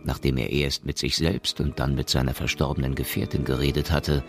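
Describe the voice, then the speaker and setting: A man's voice: very deep voice, level tone, fairly quick, vocal fry, music in the background. A man narrating a science fiction radio play in German as a scripted storyteller.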